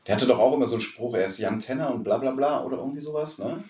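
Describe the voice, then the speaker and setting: A man's voice: mid-range voice, racing, a little mellow. A man, chatting casually as a podcast co-host. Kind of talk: casual nerd chat. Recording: echoey room.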